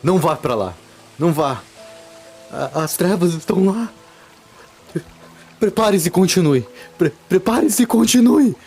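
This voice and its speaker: Man acting a frantic, shouting deranged young man. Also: high-pitched, animated, a little slow, long pauses, soft background music, pitched above the performer's own voice. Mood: frantic, terrified. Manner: shouted, stammering, urgent warnings. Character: deranged young male asylum patient, frantic doom-monger.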